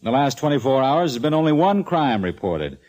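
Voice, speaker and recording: A man's voice: medium pitch, very animated, normal speed, darkish tone, close to an everyday voice. An actor playing an easygoing, wisecracking male homicide lieutenant in a 1940s radio detective drama, slangy and laconic. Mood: dryly joking. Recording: vintage 1940s radio recording.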